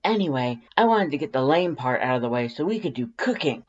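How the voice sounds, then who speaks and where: A reader voicing a brash, excitable young monster woman, loud and emphatic, in acted fan fiction dialogue. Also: medium pitch, brisk, muffled recording, pitched above the performer's own voice. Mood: proud, gruff.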